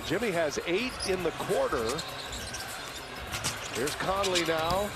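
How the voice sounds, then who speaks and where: A man's voice: sits high, sing-song, slow. A man calling a basketball game live as play-by-play commentator. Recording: loud arena noise and ball bounces.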